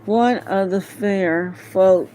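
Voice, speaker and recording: A woman's voice: medium pitch, extremely slow, warm tone, poor audio. A woman reading a fantasy novel aloud as an amateur, hesitant and often stumbling over words. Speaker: amateur audiobook reader. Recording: home recording with faint background noise.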